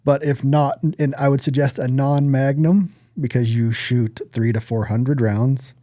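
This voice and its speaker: Male American podcast co-host, blunt and profane, soft-toned delivery, very dry sound. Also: mid-range voice, steady tone, measured pace, no echo. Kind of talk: casual podcast chat.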